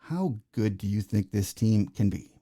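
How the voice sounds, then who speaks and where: A man's voice: lowish voice, animated, unhurried, mellow. A man hosting a casual sports interview, folksy in manner, leading his guest with conversational questions. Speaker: podcast host, interviewer.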